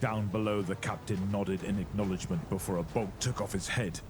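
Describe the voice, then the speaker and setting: A man's voice: deep voice, steady tone, a little fast, background noise. A man narrating a scripted horror audio drama in a dramatic storytelling style.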